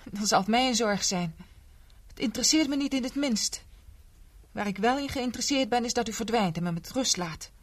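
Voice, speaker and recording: An actress playing a defiant young stepdaughter in a 1970s radio drama, curt and dismissive. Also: female voice, mid-range voice, lightly acted. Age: young adult.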